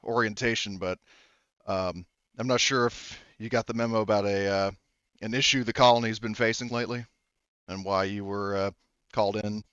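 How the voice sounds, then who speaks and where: A man voicing a weary, businesslike colony sheriff in a tabletop game, briefing in a halting, matter-of-fact way. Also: fairly low pitch, steady tone, fairly bright tone, smooth, no echo, dull audio, lightly acted.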